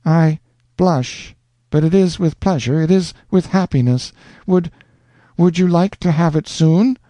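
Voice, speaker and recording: A man's voice: on the high side, unhurried, warm tone, no room sound, pitched above the performer's own voice, natural voice. A man reading a lovesick young woman in an audiobook, pleading and gushing in a raised voice. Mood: shy joy.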